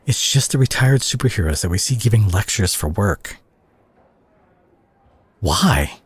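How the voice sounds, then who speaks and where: A man acting a concerned superhero who confronts a reckless friend in a dramatic audio roleplay, speaking earnestly and haltingly with a husky, breathy voice. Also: on the low side, animated, no room sound, heavily acted. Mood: somber, pained.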